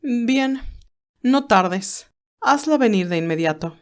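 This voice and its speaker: An elderly matriarch voiced by a woman narrator in an audiobook drama. She speaks in a raised pitch, curt and imperious, issuing formal orders as one who expects obedience. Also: expressive, slow pace. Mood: stern, commanding. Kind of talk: audiobook character dialogue.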